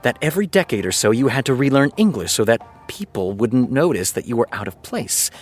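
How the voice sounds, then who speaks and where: A man's voice: medium pitch, big pitch swings, a little fast, faint music behind. An actor playing an exasperated, sarcastic male TV writer reading prose aloud in an audio drama, with a sardonic manner. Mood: pensive reading. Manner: sardonic, sighing, incredulous. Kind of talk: reading prose aloud with muttered scornful asides.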